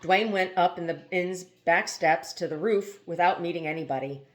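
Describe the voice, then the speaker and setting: Woman reading a book out loud, kinda low voice, trips on a word here and there. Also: moderate pace. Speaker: amateur audiobook reader. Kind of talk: reading a novel aloud, scripted narration.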